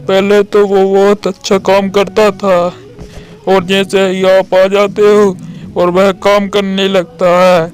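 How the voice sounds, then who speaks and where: A man voicing a scheming farmhand in a cartoon story, wailing loudly and pleading with his master in feigned pain. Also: sits high, pitched above the performer's own voice. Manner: whining, pleading, loud.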